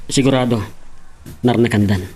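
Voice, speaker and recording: A man's voice: medium pitch, normal speed, a little mellow, a little hiss or hum, close to an everyday voice. A man with an Ilocano Filipino accent playing a weary, evasive young husband in an audio drama. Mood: evasive, irritated.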